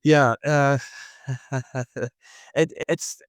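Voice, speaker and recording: A man's voice: mid-range voice, steady tone, extremely slow, clean tone, no room sound. A South African man with a South African accent, talking reflectively as a podcast guest and often searching for his words. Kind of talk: reflective conversational interview.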